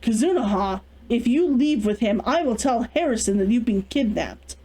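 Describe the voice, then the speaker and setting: A woman's voice: a little high, animated, moderate pace, dark tone, husky, rough recording. Woman playing a stern, controlling elder sister. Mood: stern warning. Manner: firm, scolding, authoritative.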